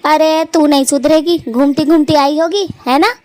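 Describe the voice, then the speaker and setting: A woman's voice: high voice, steady tone, normal speed, a little mellow, no room sound, lightly acted. A woman voicing a fond, scolding, matchmaking elder sister bird in a cartoon story, with a homely, bossy, nagging manner. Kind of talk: animated cartoon story dialogue.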